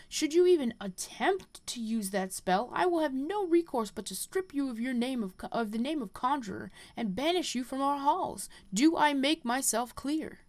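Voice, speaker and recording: A woman voicing a solemn, stern male guild master from game quest dialogue, with measured, formal, admonishing delivery. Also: sits high, darkish tone, no echo, pitched above the performer's own voice.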